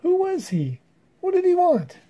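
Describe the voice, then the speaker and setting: A man voicing curious, excitable storybook animals, questioning and exclaiming. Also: very high-pitched, a little slow, pitched above the performer's own voice, heavily acted. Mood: alarmed.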